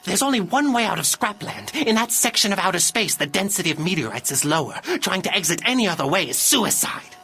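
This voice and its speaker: An actor voicing a quirky, energetic robot gambler in a video game, giving urgent mission instructions in a creaky voice. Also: medium pitch, fairly quick, few pauses, exaggerated voice. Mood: urgent, briefing.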